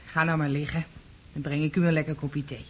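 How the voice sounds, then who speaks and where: An actress playing a placating but firm landlady in a radio play, coaxing and patronising, with a breathy voice. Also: low voice, little pitch movement, fairly quick. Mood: soothing, firm. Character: placating, firm landlady humouring an unwell male lodger. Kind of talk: Dutch radio play acting.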